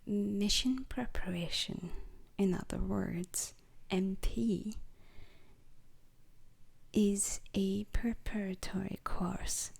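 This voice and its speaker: A woman playing a solemn, strict alien instructor in an ASMR roleplay, lecturing deliberately in a breathy whisper. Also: mid-range voice, steady tone, a little mellow, exaggerated voice. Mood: solemn, instructive.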